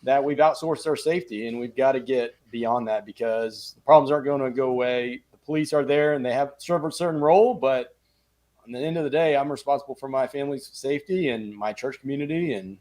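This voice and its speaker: A man who trains security teams, a podcast guest talking in an earnest, measured way. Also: medium pitch, darkish tone. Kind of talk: casual podcast talk.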